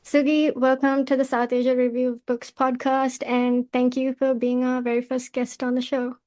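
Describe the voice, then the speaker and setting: Female literary podcast host, high voice with very flat intonation, formal and measured, scripted read. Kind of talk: scripted podcast introduction and questions.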